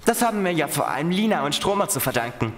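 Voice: medium pitch, very animated, quick pace, reverberant, close to an everyday voice. An actor playing a confident, reassuring young detective who leads the group in a children's radio play. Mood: thoughtful.

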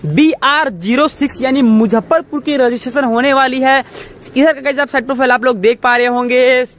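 A man's voice: extremely high pitch, a little fast, a bit bright, a little hiss or hum. A young man hosting a walkaround video at an outdoor used car lot, presenting to viewers in a repetitive, filler-heavy way. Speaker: car showroom video host.

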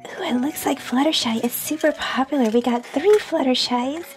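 A woman's voice: high voice, normal speed, soft background music. A woman hosting a toy unboxing video, chatting cheerfully and gushing over each toy. She is under the weather and losing her voice, and she giggles often. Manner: bubbly and gushing, repeats "super awesome", giggles often. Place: indoors at a tabletop toy display.

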